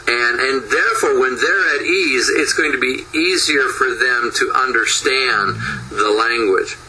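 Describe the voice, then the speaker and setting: A man presenting a recorded conference lecture from his home office, talking fast with frequent restarts and repeated words. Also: mid-range voice, sharp tone, slight background noise, low-quality recording.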